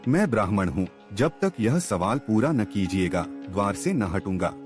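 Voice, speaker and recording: A man's voice: lowish voice, very animated, normal speed, darkish tone. A man voicing a wealthy, courteous landowner who speaks formally and entreats earnestly in a story. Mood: earnest persuasion. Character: wealthy, courteous, persuasive landowner pandit, sincere and humble. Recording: music under the voice.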